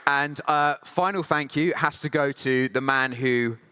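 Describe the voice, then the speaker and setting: A man with a bright, crisp and resonant voice thanking sponsors from a conference stage, friendly and filler-heavy, picking up pace now and then. A faint crowd sits behind him. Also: mid-range voice, unhurried. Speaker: conference emcee. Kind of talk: thank-you speech at a conference.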